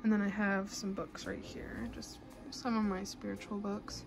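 A woman's voice: mid-range voice, level tone, moderate pace, vocal fry, noisy background. A young adult woman chatting casually as a vlogger in a bedroom at home. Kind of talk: casual vlog chat.

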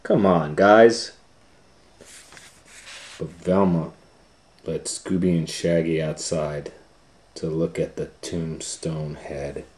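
A man reading a children's storybook aloud as a storytime host, with dramatic, drawn-out, expressive phrasing. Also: very deep voice. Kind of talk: animated storybook narration.

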